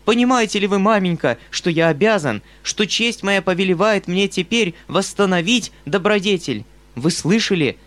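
A man reading an audiobook voices a distraught, kind-hearted gentleman who pleads in an agitated, earnest way. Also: high-pitched, expressive, normal speed, pitched above the performer's own voice.

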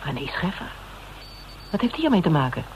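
Radio drama detective: probing, orderly, terse, with light noise on the recording. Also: male voice, on the high side, sing-song, brisk, rough recording. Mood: probing, alert. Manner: methodical questioning, clipped phrases. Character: probing, level-headed detective piecing a case together. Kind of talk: Dutch radio drama acting.

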